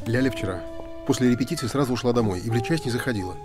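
An actor playing a composed, analytical middle-aged theatre director in a naturalistic screen drama. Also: male voice, medium pitch, rapid-fire, over music, lightly acted.

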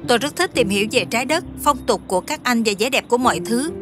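A woman acts out a curious young female alien from a fantasy film dub. She talks quickly in a slightly high voice, blunt, earnest and innocently literal. Faint music plays behind her. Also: expressive, close to an everyday voice. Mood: earnest, concerned. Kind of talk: dubbed fantasy film dialogue.

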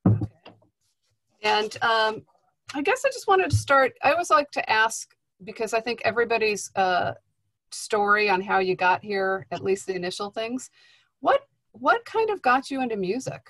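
A woman's voice: fairly high pitch, animated, normal speed, a bit bright, no room sound. A woman professor hosting a remote class, chatty and friendly, with lots of hesitant fillers. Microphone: video call.

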